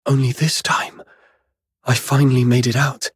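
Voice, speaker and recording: Game lead: earnest, searching young man male actor, very breathy husky tone, dry studio sound. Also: medium pitch, flat delivery, moderate pace, dry recording, close to an everyday voice. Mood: reflective. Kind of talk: video game dramatic acting.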